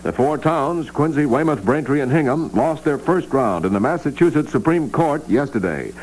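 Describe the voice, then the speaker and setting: A man's voice: on the high side, expressive, normal speed, darkish tone, poor audio. A man reading scripted news as a radio newscaster on a 1960s AM broadcast. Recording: vintage AM radio, faint hum.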